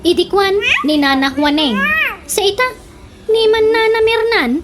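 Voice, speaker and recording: A high-pitched Ilocano neighbour woman, played by an actress in a comic radio drama. She scolds and teases with a sharp tongue, over clear background noise. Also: animated, a little slow. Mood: scolding. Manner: scolding, exclaiming, teasing. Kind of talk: comedic radio drama dialogue.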